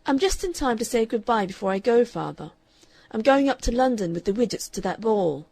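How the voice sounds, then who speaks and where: A headstrong young woman speaks with bright, brittle cheer and a husky, slightly gravelly voice. The sound is close and dry, with a woman reader putting her on. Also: fairly high pitch, very animated, normal speed, dry recording, voice pushed up, full character voice. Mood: defiant. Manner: bright, falsely cheerful, then pleading. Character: defiant, spirited young woman.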